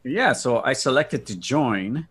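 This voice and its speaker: A man's voice: mid-range voice, lively intonation, slow, a bit bright, slightly rough. A man talking casually through a technical demo on a video call, using earbuds. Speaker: co-host demonstrating video meeting features.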